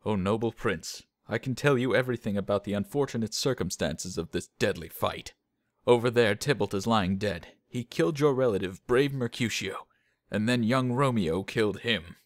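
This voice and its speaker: A man's voice: lowish voice, moderate pace, no room sound, studio quality, lightly acted. An actor playing a loyal, earnest young nobleman with a dramatic delivery in a modernized Shakespeare audio drama.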